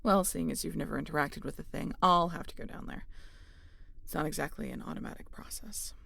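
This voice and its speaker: A woman's voice: low-pitched, a little fast, creaky, a bit bright, a little hiss or hum, heavily acted. A woman playing a guarded, sarcastic starship captain and biologist in a sci-fi audio drama, dry and often muttering. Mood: concerned, businesslike. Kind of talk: scripted sci-fi audio roleplay.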